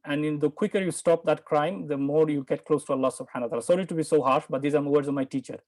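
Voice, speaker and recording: A man from Bangladesh answering questions in an online Quran lesson, patient and explaining slowly with repeats and apologies. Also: on the high side, fairly even intonation, a little mellow. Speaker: Quran teacher.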